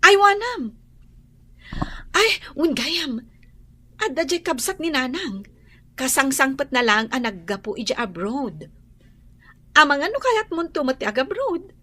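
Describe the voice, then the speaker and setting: A woman's voice: high voice, a little slow, pauses often, heavily acted. An actress playing a supportive, chatty young woman friend in a radio drama, with an Ilocano accent. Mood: eager, helpful. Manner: animated, chatty, encouraging.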